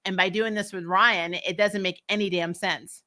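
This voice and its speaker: Casting: female recap host, bright forceful voice, candid and sassy, informal fan chat with emphatic opinions. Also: medium pitch, steady tone, moderate pace. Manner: candid, sassy, emphatic, colloquial with casual swearing. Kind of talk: casual fan commentary and chat.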